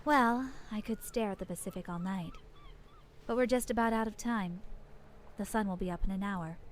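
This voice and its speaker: A woman's voice: medium pitch, moderate pace, slight background noise, close to an everyday voice. A woman playing a young, streetwise female vampire in a video game, talking in a casual, sardonic and playful way. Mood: wistful. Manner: casual, wry, teasing. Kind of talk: video game character dialogue. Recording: loud music behind.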